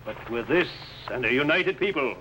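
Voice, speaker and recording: An actor playing a resolute, solemn medieval archbishop, with formal, declamatory speech in 1950s television drama style. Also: male voice, medium pitch, expressive, moderate pace, natural voice. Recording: faintly noisy old TV soundtrack.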